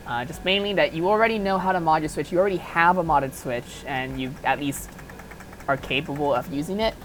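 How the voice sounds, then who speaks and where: A woman's voice: deep voice, slight background noise, low-quality recording. A woman giving an informal, unscripted tutorial, talking offhandedly and thinking aloud as she goes. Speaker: tutorial host. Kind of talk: casual unscripted software modding tutorial.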